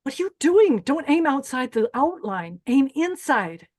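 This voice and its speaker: A woman's voice: high voice, big pitch swings, a little slow, darkish tone. A woman playing a menacing, deranged pageant host who bosses and threatens contestants in a screenplay table read. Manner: bossy, threatening.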